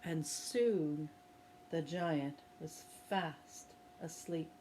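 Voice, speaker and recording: A woman retelling a fairy tale to young children in a gentle, expressive, sing-song way. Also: low-pitched, drawn-out words, slight background noise. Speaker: early-years storyteller. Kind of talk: children's story reading.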